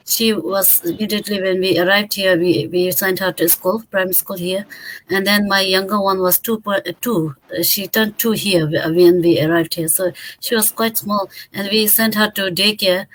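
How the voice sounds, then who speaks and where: A woman's voice: mid-range voice, low-quality recording. A woman in her thirties from Bhutan, a guest on an online interview, answering chattily and correcting herself often. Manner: chatty, self-correcting, restarts.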